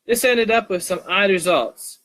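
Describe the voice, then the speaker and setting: Male live storyteller, fairly high voice, informal reading with small stumbles and restarts. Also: normal speed, a bit bright, low-quality recording. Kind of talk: live story reading, animated narration with casual asides.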